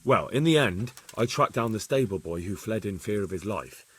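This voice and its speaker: A lord's earnest servant in a game cutscene. The voice is husky and a little low. He is respectful and plain-spoken, with faint music behind him. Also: expressive, normal speed. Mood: respectful, reporting. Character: earnest, deferential male retainer investigating for his lord. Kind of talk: video game cutscene acting.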